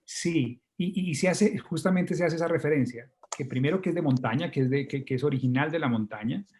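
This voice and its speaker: A man's voice: a little high, a little fast, a little mellow, a little hiss or hum. A man with a Colombian accent talking informally as a guest, courteous and explaining things step by step. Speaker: coffee company representative, guest.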